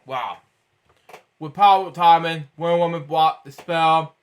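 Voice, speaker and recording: A man's voice: a little high, unhurried. A man reading a children's storybook aloud, stumbling over words and breaking off for rambling asides of his own. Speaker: storybook reader.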